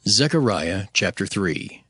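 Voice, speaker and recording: A deep-voiced man announces chapter headings very slowly. The reading is scripted and formal, with music underneath. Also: animated, a bit bright, breathy edge.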